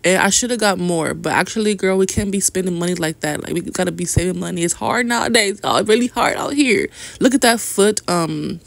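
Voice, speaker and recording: A woman's voice: on the low side, brisk, vocal fry. A young woman in her twenties, chatty and gushing, in a casual shopping haul vlog recorded at home. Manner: chatty, gushing, says "y'all" and "girl" a lot. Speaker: vlogger. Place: bedroom at home, indoors.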